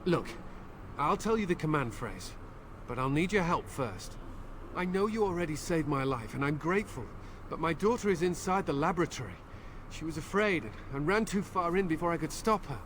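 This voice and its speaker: A man's voice: a little high, expressive, moderate pace, fairly bright tone, slight background noise. An actor playing an anxious, bitter middle-aged village man pleading earnestly for his daughter in a video game. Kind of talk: video game dialogue acting.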